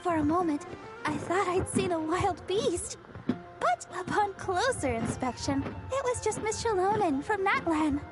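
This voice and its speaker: A woman's voice: very high-pitched, expressive, unhurried. A woman voicing a sweet, earnest young church singer, girlish and eager, in a video game with music behind her. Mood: earnest recounting.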